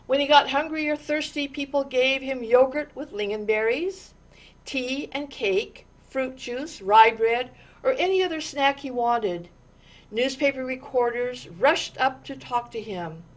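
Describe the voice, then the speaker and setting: A woman reading a children's story aloud in an expressive, deliberate way, with dramatic pauses and stressed words. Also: high-pitched. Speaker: storytime reader.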